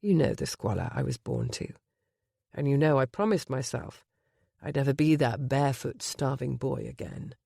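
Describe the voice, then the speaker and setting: A woman reading an audiobook, voicing a grown man, a husband whose low husky voice sounds tender and wry. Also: very animated, moderate pace, dark tone, no echo, clean studio sound, heavily acted. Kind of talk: audiobook romance character voice.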